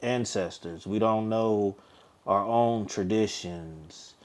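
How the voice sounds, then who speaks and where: American guy in an interview, slow and thoughtful, lowish voice, keeps restarting bits. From United States. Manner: measured, reflective, restarts phrases. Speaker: guest. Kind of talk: candid interview talk.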